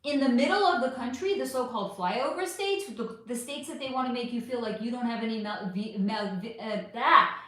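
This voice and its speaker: A woman's voice: on the high side, lively intonation, fairly quick, few pauses, a bit bright. A woman hosting a casual livestream chat, profane and affectionate, losing her voice. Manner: chatty, profane, affectionate with viewers. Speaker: livestream host. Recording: very echoey room.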